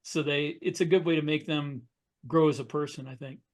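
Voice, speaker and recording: Higher-voiced man, explaining casually. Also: steady tone, normal speed. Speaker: podcast panelist. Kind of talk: casual discussion.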